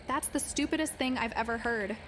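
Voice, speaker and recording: A woman's voice: a little high, brisk, a bit bright, a little hiss or hum, lightly acted. A woman playing a skeptical, exasperated young human in an audiobook drama, talking in a casual, dismissive way.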